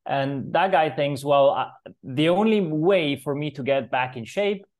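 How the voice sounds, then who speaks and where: A man's voice: a little high, measured pace, darkish tone. Young man, from Switzerland, fitness coach guest, earnest, explanatory, video call with earphones. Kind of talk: podcast interview answers. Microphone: video call webcam with earphones.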